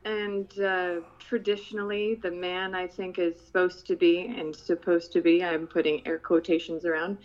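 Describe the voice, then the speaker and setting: A woman's voice: fairly low pitch, little pitch movement, moderate pace, hardly any pauses, lo-fi sound. A woman from the Philippines, co-hosting a casual talk show, light and giggly, with many hesitant fillers. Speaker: co-host.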